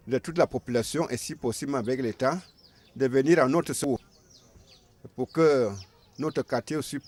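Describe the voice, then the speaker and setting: Halting older Cameroonian man. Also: medium pitch, a little mellow. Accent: Cameroonian French. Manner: halting, repetitive, with many fillers. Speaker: local resident. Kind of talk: interview statement. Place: outdoors in a neighborhood.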